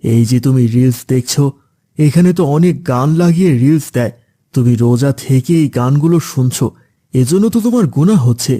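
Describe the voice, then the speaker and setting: A man's voice: medium pitch, lively intonation, a little fast, warm tone, natural voice. Man voicing a stern, lecturing cartoon family man. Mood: stern, admonishing. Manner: lecturing, admonishing. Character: stern preachy father, later gruff scolding gambler uncle. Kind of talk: Islamic children's cartoon dubbing.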